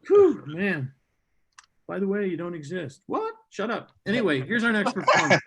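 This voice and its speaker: A man's voice: sits high, wildly swooping pitch, moderate pace, heavy background noise. A man hosting a live comedy show over a video call, riffing in a sardonic, rambling, mock-hostile way before introducing the next act. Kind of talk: stand-up banter and introductions.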